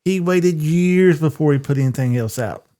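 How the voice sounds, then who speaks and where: A man's voice: fairly high pitch, moderate pace, warm tone. A man co-hosting a podcast, chatting casually in a blunt, colloquial way. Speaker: podcast co-host. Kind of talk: casual pop-culture chat.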